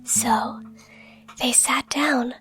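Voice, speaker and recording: A woman whispering a fairy tale in a gentle, hushed, unhurried ASMR bedtime narration. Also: sits high, fairly even intonation. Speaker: ASMR performer reading a bedtime story. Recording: soft guitar music behind close whisper. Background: quiet plucked guitar music.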